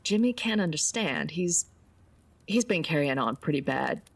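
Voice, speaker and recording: A woman playing a gentle, worried frontier farm mother in a radio western, hesitant and subdued. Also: sits low, expressive, normal speed, gravelly, husky, exaggerated voice. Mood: weary, worried. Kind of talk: old-time radio western acting.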